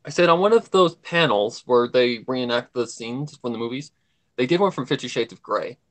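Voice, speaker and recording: A man's voice: medium pitch, lively intonation, normal speed. A man talking casually as a guest on a livestream, rambling and emphatic, often repeating his points. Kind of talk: casual chat.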